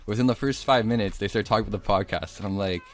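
Deep-voiced, fast-talking young man. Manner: slangy, halting with many "like"s. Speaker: podcast co-host. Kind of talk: casual, rambling storytelling.

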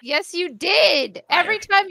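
A woman's voice: extremely high pitch, expressive, measured pace, gravelly, crowd noise. A woman co-hosting a podcast, teasing her partner with stories in casual chat. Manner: teasing, storytelling, hesitant restarts. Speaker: podcast co-host.

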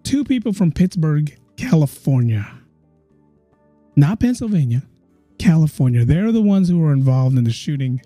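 Dark-voiced chatty man hosting a podcast. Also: on the high side, big pitch swings, moderate pace, no echo, faint music behind. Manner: chatty, repeats phrases for emphasis. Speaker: podcast host. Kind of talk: casual podcast commentary.

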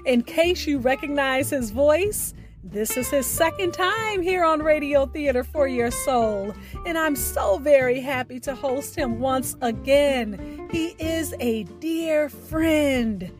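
A woman's voice: high-pitched, expressive, slow, over music. A woman hosting a radio storytelling show, introducing a featured guest.

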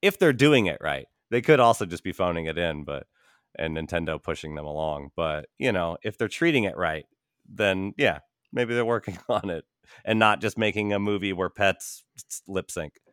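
A man with a very low, clean and resonant voice talks casually on a podcast, with no room sound at all. His commentary is wry and skeptical. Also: animated, moderate pace, fairly bright tone, clean tone, no echo, clean studio sound.

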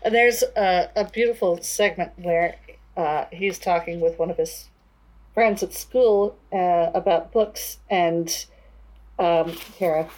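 A woman's voice: on the low side, slow, darkish tone, low-quality recording. A woman co-hosting a conversational discussion about books. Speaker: book discussion podcast co-host.

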